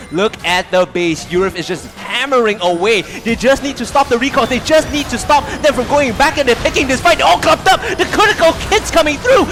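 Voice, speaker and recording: A man casting an esports match in English, giving excitable play-by-play that builds to loud calls, then chatting through the analysis. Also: very high voice. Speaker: esports caster. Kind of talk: play-by-play commentary.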